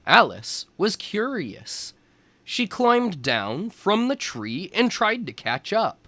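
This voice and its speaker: A man reading a storybook aloud as a teacher for young children, in deliberate phrases. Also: high-pitched, very animated, sharp tone, no room sound.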